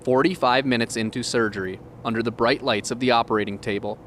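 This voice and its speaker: A man's voice: medium pitch, steady tone, fast, fairly bright tone, a little hiss or hum. Man narrating a local TV feature. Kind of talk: scripted narration.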